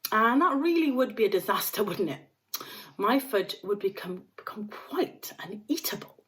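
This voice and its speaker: A woman's voice: medium pitch, lively intonation, moderate pace, fairly bright tone, natural voice. A woman voicing an eccentric, mischievous male chocolate maker from a storybook, flippant and theatrically effusive. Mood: mock apologetic.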